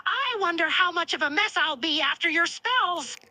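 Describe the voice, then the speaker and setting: A woman's voice: very high voice, animated, normal speed, pushed and bright, no echo, voice pushed up, heavily acted. A woman voicing a smirking, playful male cartoon villain who flirts and teases in a romance fan dub. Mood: smitten, teasing. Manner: playful, teasing, seductive.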